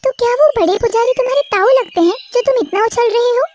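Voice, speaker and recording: A woman's voice: fast, a little hiss or hum. A woman voicing a bold, spirited young snake-charmer girl in a cartoon story, with a sassy, teasing delivery. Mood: indignant. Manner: sassy, teasing, high and animated.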